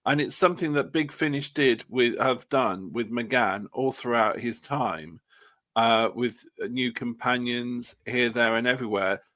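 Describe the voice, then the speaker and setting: Enthusiastic mid-pitched man in casual discussion. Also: a little slow, a bit bright. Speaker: podcast panellist.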